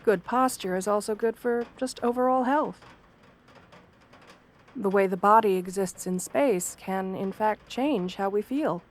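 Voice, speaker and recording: Soft-spoken, gentle wolf-girl therapist played by a woman. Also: medium pitch, natural voice. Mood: calm, guiding. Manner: gentle, measured, formal and reassuring. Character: sweet, understanding female wolf-girl therapist, professional and composed. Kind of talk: soft-spoken ASMR roleplay.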